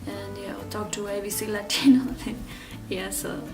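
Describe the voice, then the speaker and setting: A woman in her thirties from Ecuador telling her own story in an interview, speaking haltingly with many fillers and restarts. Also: medium pitch, steady tone, distant mic, music in the background. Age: 30s. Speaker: interview guest.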